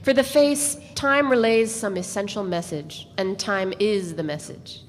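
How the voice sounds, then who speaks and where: A woman with a medium-pitched voice reads literary narration aloud in a deliberate, measured way. She uses dramatic pauses, and the hall adds a long reverb with faint noise.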